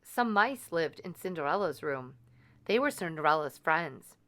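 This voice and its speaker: Unhurried, animated woman storyteller. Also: low voice. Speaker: storybook reader. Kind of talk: expressive children's read-aloud narration.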